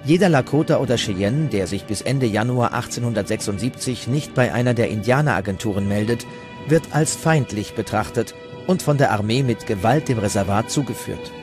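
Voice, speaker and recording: Man, documentary narrator, scripted delivery, medium pitch, clear music behind. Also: animated, fairly quick.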